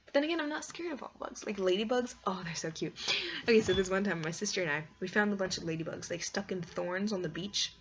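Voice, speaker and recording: A woman's voice: medium pitch, quick pace. Woman rambling casually, lo-fi noisy recording. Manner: expressive, squeamish, exclaims in disgust; heavy use of "like" as a filler. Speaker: video blogger. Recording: dull lo-fi sound with clear background noise.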